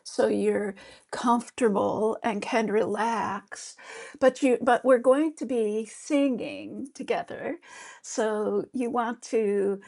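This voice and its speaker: Slow, gravelly-voiced woman teacher. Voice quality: high voice. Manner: gentle, encouraging, with fillers and restarts. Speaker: yoga therapist teaching mantra. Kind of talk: guided meditation lesson.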